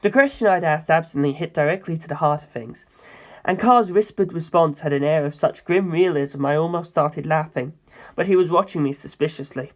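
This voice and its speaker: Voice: medium pitch, fairly quick. Narrator reading first-person fiction, mid-range voice. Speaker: story reader.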